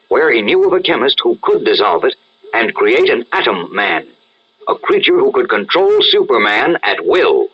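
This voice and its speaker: A man's voice: medium pitch, breathy edge. An American man narrating dramatically as an announcer in 1940s radio style. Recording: muffled vintage radio recording.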